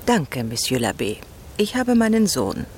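A haughty society wife in a radio drama, with a very low voice for a woman. She gossips with emphatic disdain. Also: big pitch swings, unhurried, darkish tone, slight background noise. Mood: cool. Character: haughty, gossiping, scornful provincial married lady. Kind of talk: German radio drama acting.

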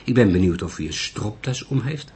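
A man voicing a calm, wily old police detective in an audiobook, hesitant, measured and probing. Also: lowish voice, slightly rough, heavily acted.

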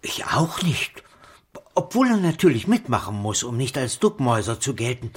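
Animated, opinionated small-town busybody. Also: male voice, medium pitch, moderate pace, husky. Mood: knowing. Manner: opinionated, knowing, theatrical. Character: chatty, self-assured, worldly small-town busybody man. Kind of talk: radio play acting.

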